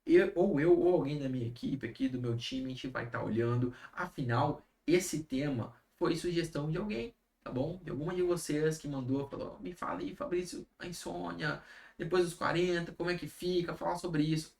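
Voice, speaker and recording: A man's voice: a little high, moderate pace, gravelly, a little mellow. A man, giving casual advice on a live stream and often checking in with tag questions. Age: 30s. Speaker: health coach hosting a live stream.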